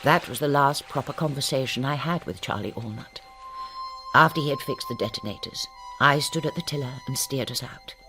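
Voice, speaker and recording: An actress narrating as a woman who looks back on a perilous voyage in a radio drama, in a measured storytelling manner. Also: rumbling low, music in the background. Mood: reflective. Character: English woman recalling her voyage, looking back.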